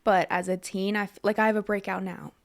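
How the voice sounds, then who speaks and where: A woman's voice: mid-range voice, steady tone, fast talker, vocal fry. A young woman from Pennsylvania, a guest on a podcast, talking candidly and laughing often. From Lebanon, Pennsylvania. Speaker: guest, business owner. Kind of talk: casual interview chat. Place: podcast studio.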